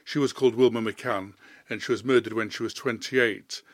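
A man's voice: mid-range voice, steady tone, normal speed, a bit bright, no echo. Older man telling stories. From Leeds, England. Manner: rambling, anecdotal, with fillers. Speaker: playwright guest. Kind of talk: relaxed storytelling interview.

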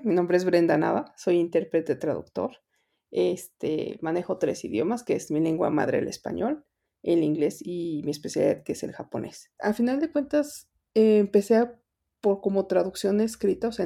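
A woman's voice: fairly low pitch, lively intonation, normal speed, gravelly, mellow. A middle-aged Mexican woman, an interpreter, answering interview questions in a rambling way with many fillers and restarts. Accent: Mexican Spanish. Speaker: interpreter and translator guest.

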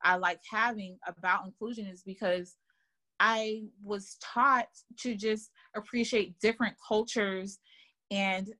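A woman who grew up in Germany talks as a guest on a podcast interview. Her pitch sits in a middling range for a woman. She speaks earnestly and often repeats words while she gathers her thoughts. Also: steady tone, unhurried, pushed and bright. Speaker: author and guest.

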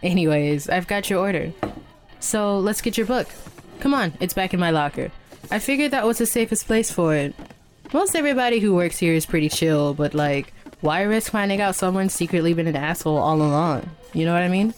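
An actress playing a friendly, slightly shy young coworker who chats casually in an audio drama. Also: female voice, lowish voice, lively intonation, brisk, soft background music, lightly acted. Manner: casual, chatty, hesitant.

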